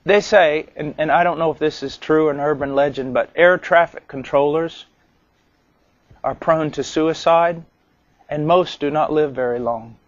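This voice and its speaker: Male preacher, somewhat high voice, earnest deliberate sermon delivery, rhetorical questions, dull lo-fi sound. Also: no room sound.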